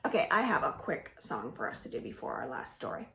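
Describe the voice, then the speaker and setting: A young woman leading a children's storytime from home, reading stories and singing rhymes in a lively sing-song way. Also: rumbling low, a little fast, vocal fry, reverberant. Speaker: children's librarian.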